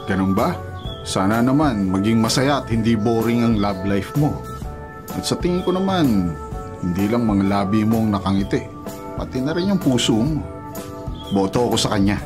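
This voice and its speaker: A man's voice: lowish voice, expressive, moderate pace, pauses often, natural voice. Actor as a teasing Filipino father over music. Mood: fond, teasing. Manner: teasing, paternal. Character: insistent, fond older father eager for grandchildren. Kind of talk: Filipino radio drama acting.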